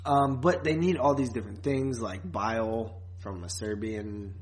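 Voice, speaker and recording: A man's voice: on the low side, fairly even intonation, measured pace. A man co-hosting a podcast, chatting casually about a series in a slangy, conversational way. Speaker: podcast co-host. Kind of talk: casual chat reviewing a TV series.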